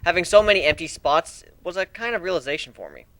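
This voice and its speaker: A man giving an expressive, theatrical first-person narration as an amateur dramatic story reader. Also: fairly high pitch, fast talker, no echo.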